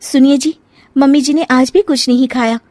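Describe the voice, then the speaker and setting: A woman's voice: high-pitched, steady tone, normal speed, a little mellow, no echo, pitched above the performer's own voice, close to an everyday voice. A woman voicing a caring, cheeky young daughter-in-law in a cartoon story, coaxing and pointed. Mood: concerned, coaxing. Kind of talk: cartoon family story dialogue.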